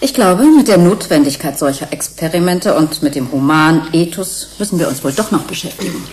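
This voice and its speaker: An actress playing a frank, reflective researcher in a German radio drama. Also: female voice, low-pitched, expressive, fairly quick. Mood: sober. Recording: faint background noise.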